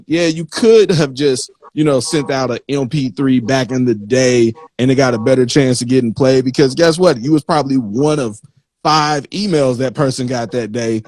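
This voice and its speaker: A man's voice: mid-range voice, a little mellow. A man hosting a casual interview, talking informally with slang and acting out imagined quotes as he goes. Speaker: interviewer, magazine host.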